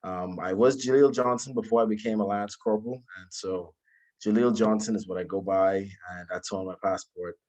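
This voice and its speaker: A man, giving an informal talk about his own story in a polite, humble way, often halting and repeating words. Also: lowish voice, steady tone, darkish tone, rough recording. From Bahamas. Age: 20s. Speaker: guest speaker, alumnus.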